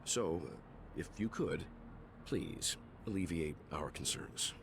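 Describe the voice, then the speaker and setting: An actor playing a calm, firm and diplomatic mature man in a video game, speaking politely and formally. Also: sits low, takes it slowly.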